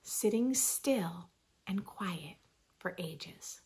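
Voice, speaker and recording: A woman in her thirties reading aloud expressively for young children, with playful emphasis and deliberate phrasing. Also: medium pitch, expressive, a little mellow, a little hiss or hum. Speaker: host of a children's read-aloud channel. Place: small furnished room indoors.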